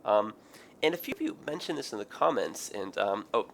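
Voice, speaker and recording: A man with a raspy, hoarse, tired voice, rambling through casual game commentary with many ums and self-corrections. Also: lowish voice, very animated, a little fast, slight background noise, poor audio. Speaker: gaming video host. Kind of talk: casual let's play commentary.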